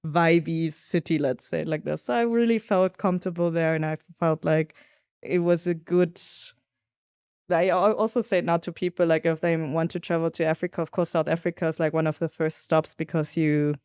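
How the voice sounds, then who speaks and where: A young woman from Germany, a podcast guest, telling travel stories in a thoughtful, lively way. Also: deep voice, normal speed, clear, resonant tone, no echo, studio quality. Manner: thoughtful storytelling, lively when quoting others. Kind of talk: casual conversational interview.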